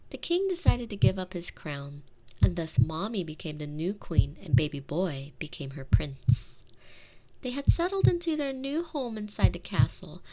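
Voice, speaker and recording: A tender, protective girlfriend played by a woman lulls her partner in an intimate ASMR roleplay. Her medium-pitched voice is soft and soothing, slipping toward whispers. She lingers on phrases like a bedtime storyteller, close to the microphone. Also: natural voice, poor audio. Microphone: close binaural microphone.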